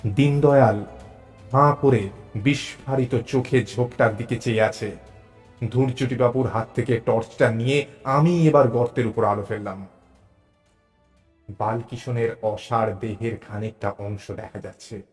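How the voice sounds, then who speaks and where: A man narrates a suspense tale at a slow pace, with music playing behind him. His pitch sits in a middle range, and his phrasing is deliberate and dramatic, pausing to let the tension hold. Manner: measured, deliberate, suspenseful delivery with pauses. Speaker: narrator of a suspense audio story. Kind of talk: dramatic first-person story narration. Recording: narration over clear background music.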